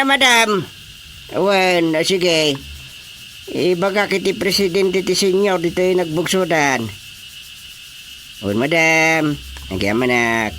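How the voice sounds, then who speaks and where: A man's voice: high-pitched, fairly bright tone, heavily acted. An actor with an Ilocano accent playing a chatty, teasing elderly village chairman in a radio drama comedy. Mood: chatty, fussing.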